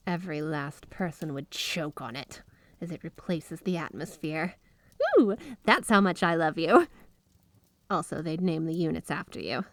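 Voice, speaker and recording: A woman's voice: on the low side, darkish tone, no room sound. A woman playing a flirty, obsessively devoted yandere wife in an ASMR roleplay, speaking in a sweet, clingy, gushing way. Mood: softly intense. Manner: sweet, clingy, breathy giggles, gushing affection. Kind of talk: ASMR roleplay, addressing the listener as spouse. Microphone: close studio microphone, dry.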